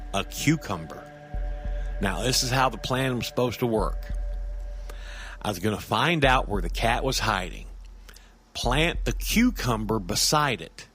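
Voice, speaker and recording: A man playing a hesitant, earnest everyman who tells his creepy story in a halting, folksy, conversational audio drama monologue. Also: mid-range voice, a bit bright, close to an everyday voice.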